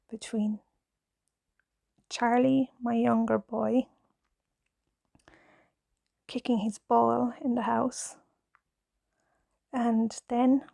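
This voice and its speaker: A shy Irish woman in her thirties talks in a husky, half-whispered hush, her slow words trailing into gravelly fry. Her voice sits soft and mellow with a flat, even melody, close to a clip-on mic in a dry room. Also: fairly high pitch, no room sound. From Ireland. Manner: gentle, hesitant, nervous, with many fillers. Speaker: knitting ASMR creator, mother. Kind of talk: soft-spoken ASMR chat. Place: home, in pajamas. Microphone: clip-on mic on pajamas.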